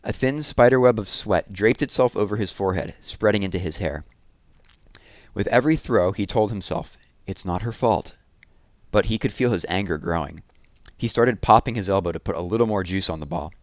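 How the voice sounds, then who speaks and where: A man narrating a children's chapter book aloud as an expressive storyteller. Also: fairly low pitch, fairly quick, dry recording.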